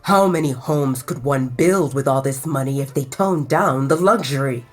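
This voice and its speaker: Woman lowering her voice for grumbling young werewolf men, very low, husky, music underneath. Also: moderate pace. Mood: grumbling, matter-of-fact.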